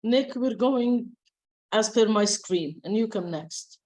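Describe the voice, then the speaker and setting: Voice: mid-range voice, slow pace, darkish tone. A person hosting a video meeting, politely inviting each attendee to introduce themselves. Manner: polite, guiding the turns. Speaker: meeting host. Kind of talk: moderating a video call. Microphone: video call.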